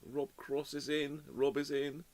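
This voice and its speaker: A man hosting a live radio repair stream, chatting casually and greeting viewers by name, his voice slightly hoarse from a cold and cough. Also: on the high side, little pitch movement, measured pace, darkish tone. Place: home workshop. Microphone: desk microphone.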